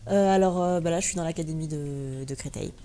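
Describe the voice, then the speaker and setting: A woman's voice: deep voice, fairly quick, dark tone. A young woman from France answering interview questions as a guest, hesitant, with many fillers and restarts. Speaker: guest blogger and teacher.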